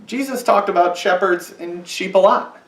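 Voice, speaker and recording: A man's voice: high voice, normal speed, fairly bright tone, reverberant, recorded from a distance. A man leading a children's message in church, patiently explaining in a sing-song way for kids. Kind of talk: children's sermon with puppet. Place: church sanctuary.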